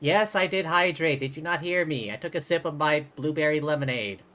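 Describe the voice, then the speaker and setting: Bright-voiced chatty man livestreaming. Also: on the high side, fairly quick. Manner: chatty, informal. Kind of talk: casual live game commentary.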